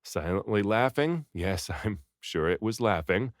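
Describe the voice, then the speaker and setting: A man reading a story aloud in an expressive, dramatic first-person narration, acting out the hero's lines. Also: fairly low pitch, measured pace, no room sound, studio quality. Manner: animated, dramatic, acts out the first-person hero. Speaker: audiobook reader.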